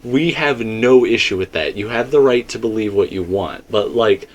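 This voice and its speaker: A man's voice: on the low side, moderate pace, highs cut off. A man co-hosting a casual, unscripted discussion, talking in a rambling, conversational way full of qualifications. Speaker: co-host of a video game theory discussion.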